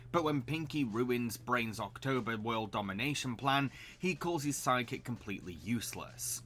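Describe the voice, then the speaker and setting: Bright, forceful man narrating a video essay. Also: mid-range voice. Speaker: cartoon reviewer and host.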